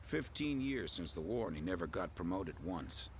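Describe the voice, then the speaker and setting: Gravelly, deep veteran pilot. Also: male voice, big pitch swings, moderate pace, slight background noise. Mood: reflective, somber. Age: middle-aged. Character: reflective, haunted veteran pilot, middle-aged man. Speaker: veteran air force colonel. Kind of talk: video game cutscene acting.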